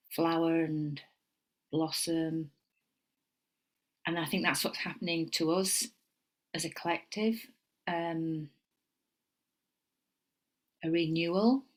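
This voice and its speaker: A middle-aged woman with a Yorkshire accent, giving a casual tarot reading at home and wandering into chatty asides. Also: fairly low pitch. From England. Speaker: tarot reader.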